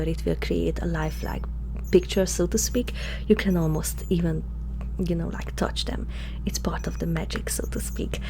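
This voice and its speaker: A woman playing a curious, flirtatious alien experimenter in an intimate ASMR roleplay, speaking haltingly in a soft, breathy murmur that often slips into whispers. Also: fairly low pitch, slight background noise, rough recording. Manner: hesitant, halting, softly spoken, often slipping into whispers.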